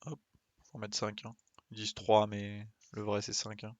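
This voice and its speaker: Male game streamer, lowish pitch, dry sound, casual muttered running commentary. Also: completely flat delivery, normal speed, dry recording. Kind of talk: casual live gameplay commentary.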